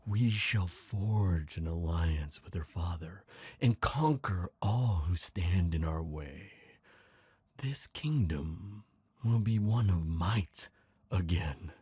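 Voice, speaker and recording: A man voicing a smooth, scheming traitor prince, gloating and seductive, in an intimate fantasy ASMR roleplay. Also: low voice, warm tone, dry recording, studio quality, heavily acted. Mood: coaxing, commanding. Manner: slow, intimate, theatrical villain delivery.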